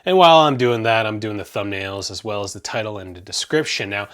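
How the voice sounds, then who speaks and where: A man's voice: fairly low pitch, fairly quick, a bit bright. A young man from Canada, hosting his own tech review channel, talking casually to camera with lots of fillers and self-corrections. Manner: chatty, rambling, frequent self-corrections and fillers.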